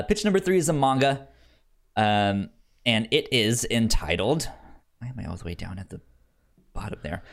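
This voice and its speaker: Slow-paced, animated man co-hosting a podcast. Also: mid-range voice. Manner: stammers over words when reading aloud. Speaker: podcast co-host. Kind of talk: casual podcast chat, reading out show pitches.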